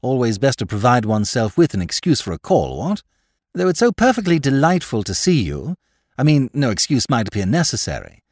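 A man's voice: medium pitch, wildly swooping pitch, brisk, a little mellow, dry recording, clean studio sound. A man voicing a chatty, polite English aristocrat in an audiobook, with an upper-class accent and a breezy, rambling manner. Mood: affable, rambling. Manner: breezy, rambling, courteous, affable.

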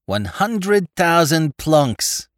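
Man doing a squeaky slangy Bowery crook. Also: huge pitch swings, normal speed, dry recording, clean studio sound. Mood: wheedling. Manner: tough street slang, eager and breathless. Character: excitable male Bowery crook sidekick, slangy, dim.

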